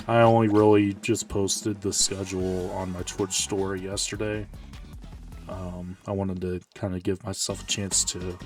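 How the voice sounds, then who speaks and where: Man, low flat voice, slightly hoarse and tired, rambling gaming chat, a bit off-mic with game music behind. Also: far from the mic. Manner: rambling, self-correcting, low-key. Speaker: Twitch streamer. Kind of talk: casual live-stream gaming chat.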